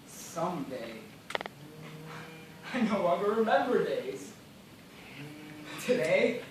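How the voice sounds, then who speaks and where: A very reverberant, noisy stage recording carries a man acting as a weak gentleman castaway from some distance. He is parched and despairing, yet keeps a formal, courteous diction with a melodramatic edge. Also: high-pitched. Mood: morbid. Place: school theatre stage, recorded from afar.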